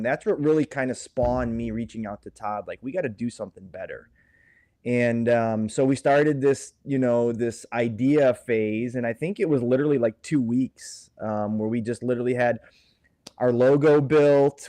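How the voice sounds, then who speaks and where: A man's voice: medium pitch, moderate pace, mellow, no room sound. A man with a mid-range voice talks as a podcast guest. He speaks candidly, in an interview style, and the sound is dry and close with very little room on it. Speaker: podcast guest, co-founder of a support movement.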